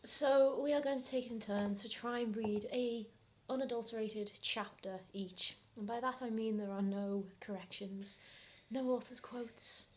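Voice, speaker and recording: A woman's voice: a little high, fairly even intonation, measured pace, slight background noise. A British woman co-hosting a comedy reading show, talking casually to camera with mock seriousness.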